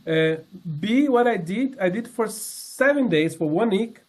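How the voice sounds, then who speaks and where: A man in his sixties giving a personal testimony to a congregation, speaking earnestly and haltingly, with many fillers and restarts. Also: sits high, animated, darkish tone. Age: 60s. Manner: earnest, sincere. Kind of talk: personal testimony talk with slides.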